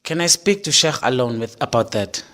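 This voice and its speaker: A man's voice: mid-range voice, sing-song, fairly quick, a bit bright. A man playing a respectful, pleading young man accused of theft in an audio drama.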